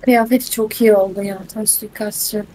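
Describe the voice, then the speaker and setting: A woman's voice: mid-range voice, steady tone, moderate pace, dark tone. A woman streamer chatting casually over gameplay, on a noisy recording. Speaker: game streamer.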